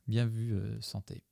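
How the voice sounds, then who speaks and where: A man's voice: fairly low pitch, gravelly, warm tone, no room sound, clean studio sound. A man streaming live, commenting on his own gameplay video with a chat, rambling and joking as he thanks his followers.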